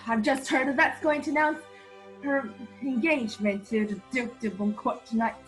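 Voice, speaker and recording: An earnest young gentleman played by a woman, wounded and urgent in a radio play. The voice is very high, with faint music underneath. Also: steady tone, moderate pace, natural voice. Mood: upset. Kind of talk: 1940s radio play acting.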